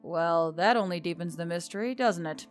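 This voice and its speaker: A woman voicing a wry, weary male boss of a magical tech office in an audiobook story, speaking in a measured, murmuring way. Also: on the low side, soft background music, pitched below the performer's own voice, natural voice. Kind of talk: audiobook character dialogue.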